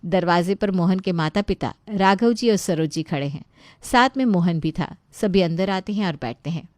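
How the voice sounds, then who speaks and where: Woman reading a story script as narrator, slightly low voice, close dry recording. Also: normal speed, darkish tone, no room sound.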